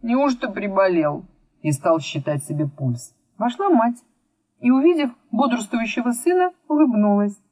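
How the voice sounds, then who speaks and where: A woman narrating a children's book as scripted, expressive storytelling. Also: a little high, normal speed, no room sound. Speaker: audiobook narrator.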